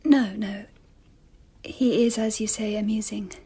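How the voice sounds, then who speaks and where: An actress playing a wary, proud empress in a radio drama, speaking in a cool, breathy whisper. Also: female voice, mid-range voice, fairly even intonation, unhurried. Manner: cool, sceptical, quietly confiding.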